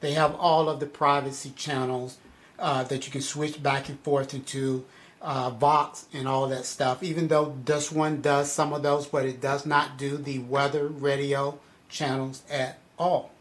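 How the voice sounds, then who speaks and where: A man's voice: medium pitch, level tone, measured pace. A man giving a casual product review in a conversational way, repeating points for emphasis.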